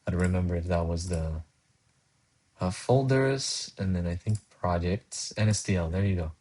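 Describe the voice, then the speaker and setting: A young man with a somewhat low, husky voice chats casually on a live coding stream. He is from Colombia and Spanish is his first language. He thinks aloud, rambles with restarts, and laughs easily. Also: darkish tone. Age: young adult. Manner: laughs often, reads chat aloud. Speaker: livestreaming programmer.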